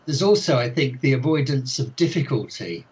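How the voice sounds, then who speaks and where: A man's voice: medium pitch, expressive, moderate pace, breathy edge, rough recording. An older man, an author being interviewed, talking thoughtfully with many restarts and hesitations. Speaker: author and ELT expert guest. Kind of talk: unscripted interview talk.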